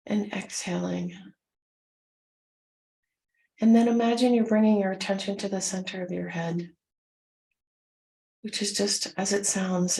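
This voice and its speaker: A woman leading a guided meditation, gently instructing in short, soothing phrases with unhurried pauses. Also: medium pitch, creaky, low-quality recording.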